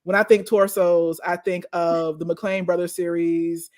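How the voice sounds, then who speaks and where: A woman's voice: on the low side, little pitch movement, measured pace, a little mellow. A woman speaking as a panelist in a casual chat, gushing about recommendations in a playful, emphatic way.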